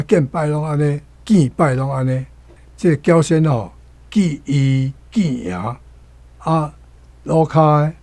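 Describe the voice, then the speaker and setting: A Taiwanese-accented man giving lesson-like commentary as a guest, deliberately repeating phrases to explain them. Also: a little high, dark tone, no room sound. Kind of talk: explaining wording of a story, lesson-like talk.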